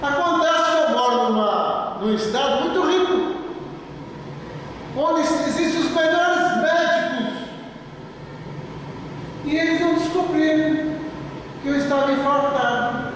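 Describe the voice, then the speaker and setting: An older male pastor with a Brazilian Portuguese accent, preaching emphatically with a fairly high voice, repeating key words and slowing down to stress them. The sound is distant and washed in the long reverb of a large church, with steady noise underneath. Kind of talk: sermon. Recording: echoey church hall from far off, with noise.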